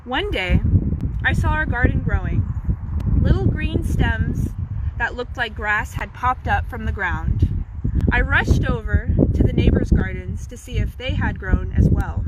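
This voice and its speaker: A woman's voice: fairly high pitch, animated, unhurried, muffled recording. A young woman reading a children's picture book aloud as narrator, recorded outdoors. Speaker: intern reading a story. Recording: very noisy outdoor recording with clicks.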